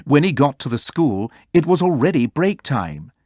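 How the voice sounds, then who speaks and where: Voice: medium pitch, big pitch swings, normal speed, a little mellow, dry recording, clean studio sound. A storyteller reading a children's story aloud as scripted narration.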